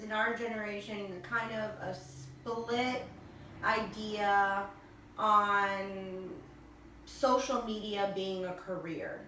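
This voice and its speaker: A woman co-hosting a podcast, explaining her views in an animated, chatty way. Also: a little high, a little hiss or hum. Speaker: podcast co-host. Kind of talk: casual discussion. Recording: very echoey room, voice from far off.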